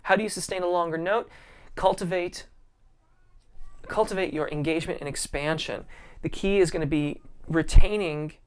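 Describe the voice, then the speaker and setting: A man's voice: a little high, normal speed, clear, resonant tone. A man, a vocal coach, hosting a casual live-stream Q&A, reading viewer comments and answering in a friendly, appreciative way. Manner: friendly and appreciative, many ums and restarts.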